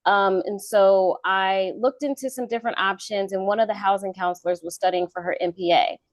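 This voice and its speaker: A woman in her thirties from North Carolina, a guest telling her career story in a relaxed interview with many fillers. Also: medium pitch, a little fast, dry recording. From Charlotte, North Carolina. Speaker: guest, government contracting consultant. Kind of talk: casual interview talk.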